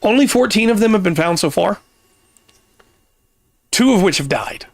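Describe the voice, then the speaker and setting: A man hosting a casual podcast, explaining things at length with pauses and restarts, his voice getting worn. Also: sits high, lively intonation, vocal fry, dry recording. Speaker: podcast host.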